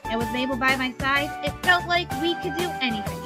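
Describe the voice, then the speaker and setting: A woman's voice: sits high, a little fast, over loud music. A woman reading a fan fiction story aloud as narrator, acting out the dialogue expressively.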